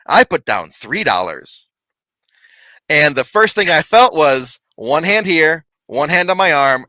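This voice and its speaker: A man telling a lively, laughing story from his paranormal investigations in casual chat. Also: a little high, no room sound, low-quality recording. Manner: animated, laughing, big voice.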